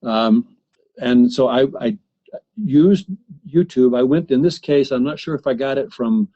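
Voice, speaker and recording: A man presenting a software demo in a webinar, talking haltingly with many fillers and restarts. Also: mid-range voice. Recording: slightly muffled webinar audio.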